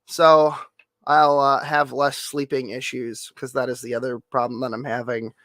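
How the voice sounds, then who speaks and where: Nasal, hoarse man rambling casually. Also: medium pitch, little pitch movement, normal speed, a bit bright, no room sound. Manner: rambling, swears casually, many fillers. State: hoarse, losing voice. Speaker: streamer.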